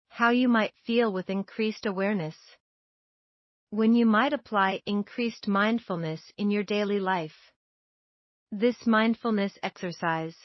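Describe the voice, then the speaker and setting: A woman's voice: medium pitch, dry recording. Woman guiding meditation, dry muffled sound. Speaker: meditation guide. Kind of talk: scripted guided meditation narration.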